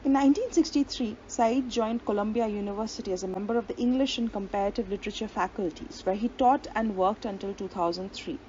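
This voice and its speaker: A woman's voice: medium pitch, quick pace, hardly any pauses, a little mellow. A woman giving an explanatory lecture who reads long quotations aloud and sometimes stops on a filler or restarts a phrase. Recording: dull lo-fi audio with faint hiss.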